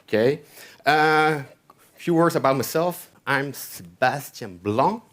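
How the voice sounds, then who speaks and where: A man's voice: medium pitch, animated, takes it slowly, a bit bright. French accent guy on stage doing a tech talk, voice bounces up and down a lot, keeps saying okay?. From France. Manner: chatty, keeps asking "okay?". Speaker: conference speaker. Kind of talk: tech conference talk with live coding. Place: conference hall stage.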